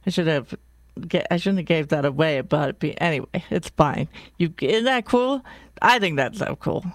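An older woman with a deep voice hosting a community radio show in casual chat. She rambles with enthusiasm and often corrects herself mid-sentence. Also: no echo. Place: radio studio.

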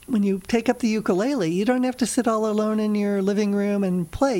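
An American woman with a low voice, talking casually and telling little anecdotes as an interview guest who organizes a club. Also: dark tone. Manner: chatty, anecdotal.

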